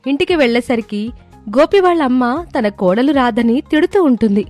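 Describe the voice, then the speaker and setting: A woman's voice: high voice, animated, normal speed, a little mellow, faint music behind. A woman storyteller reading scripted narration for a moral story.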